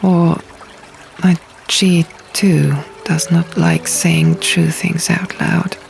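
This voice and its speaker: A woman narrates an audiobook in a slow, measured, dramatic manner. Her voice carries a marked creak. Music plays clearly underneath the reading. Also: on the low side, level tone. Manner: measured, deliberate phrasing. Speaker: audiobook reader. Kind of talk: dramatic scripted fiction narration.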